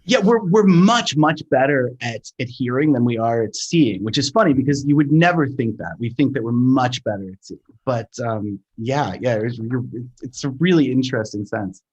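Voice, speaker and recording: A man talking casually and thoughtfully as a theatre sound designer, explaining in a hesitant, halting way. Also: medium pitch, expressive, husky, dull audio.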